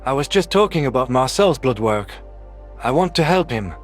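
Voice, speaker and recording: A man's voice: mid-range voice, lively intonation, moderate pace, soft background music, close to an everyday voice. A man voicing an earnest male four-legged alien doctor who explains things carefully in an audio story. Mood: explaining.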